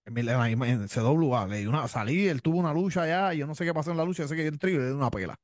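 A man's voice: a little high, rapid-fire, no echo, clean studio sound. A man with a Cuban accent, a wrestler telling his story in an interview, boastful and tough, often repeating himself. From Cuba. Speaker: pro wrestler guest.

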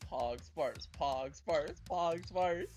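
A man chats casually as a player in a tabletop game. He is playful and slangy, with a fairly high voice and distinct background music. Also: extremely slow. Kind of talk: casual improvised game chat.

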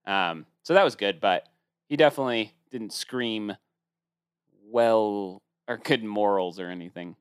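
A man's voice: on the low side, a little slow, clear, resonant tone, no room sound, clean studio sound. A man co-hosting a casual podcast, rambling through his opinions with many restarts. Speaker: podcast co-host. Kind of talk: casual chat.

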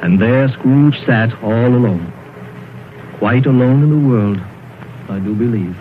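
An actor playing a mild, reflective husband, speaking measuredly in 1930s radio drama style. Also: male voice, medium pitch, a little slow, phone-quality sound, close to an everyday voice. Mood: gently reflective. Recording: thin, faintly noisy 1930s radio.

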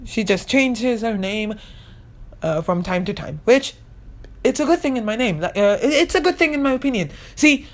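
A young woman of eighteen talks through her own podcast in loose, rambling chat. Her voice sits a touch higher than most, and she keeps stopping and restarting with fillers. She earnestly insists that she means every word. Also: lively intonation, moderate pace. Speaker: podcast host and YouTuber. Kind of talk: casual solo podcast chat.

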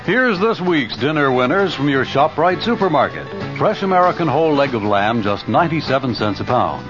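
A man's voice: mid-range voice, very animated, a little fast, highs cut off. A man reading a scripted supermarket commercial as a radio announcer. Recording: band-limited 1970s radio broadcast with music.

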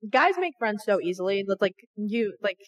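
A woman's voice: medium pitch, very animated, moderate pace, dull audio. A young woman co-hosting a podcast in casual chat, enthusiastic and saying "like" constantly. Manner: chatty, says "like" constantly, enthusiastic. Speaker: podcast co-host.

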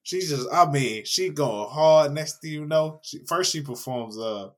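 A hoarse man with a raspy voice, co-hosting a podcast and telling stories in casual chat. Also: measured pace. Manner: animated storytelling, laughing, mimicking with big pitch jumps. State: hoarse after a concert. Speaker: podcast co-host.

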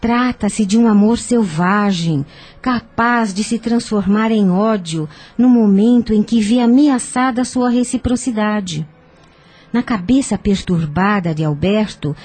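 Mellow, wise spiritual counsellor. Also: female voice, mid-range voice, slightly rough. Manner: measured, didactic, gentle. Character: calm, wise female spiritist counsellor Dona Catarina. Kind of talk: radio drama acting.

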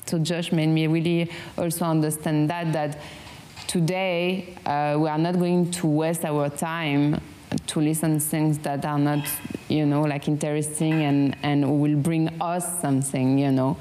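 A woman with a French accent, hosting a relaxed meditation chat and often pausing to search for words. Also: sits low, a little hiss or hum. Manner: halting, searching for English words, frequent fillers. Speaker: meditation channel host. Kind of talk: casual guided meditation chat.

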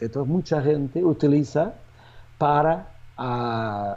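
A man, a native Portuguese speaker with a Portuguese accent, answering interview questions as a real estate agent in a halting way, with many restarts and fillers. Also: mid-range voice, dark tone, lo-fi sound.

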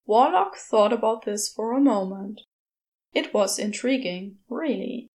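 A woman's voice: mid-range voice, expressive, measured pace, darkish tone. A woman reading a story aloud as its narrator, in scripted prose narration. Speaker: podfic narrator.

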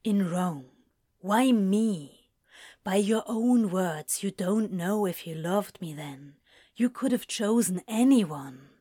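A woman voicing a tender, insecure male lover who asks earnest, hesitant questions in a hushed audiobook style. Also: medium pitch, lively intonation, slow, no echo, clean studio sound. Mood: quiet, vulnerable.

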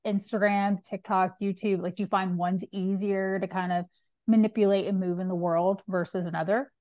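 A woman hosts a casual interview, encouraging her guest and chiming in with short affirmations. Her voice is mid-pitched and recorded dry and close. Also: flat delivery, moderate pace, dark tone, no room sound. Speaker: podcast host. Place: Florida.

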